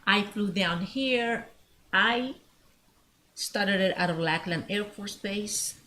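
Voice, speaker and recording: A woman's voice: mid-range voice, takes it slowly, bright, forceful tone, a little hiss or hum. A middle-aged woman from Mexico who grew up in Alaska, talking in an emphatic, motivational way as a talk-show guest, repeating phrases and asking rhetorical questions. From born in Mexico, grew up in Alaska. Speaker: guest, business owner. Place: at her home, on a podcast set.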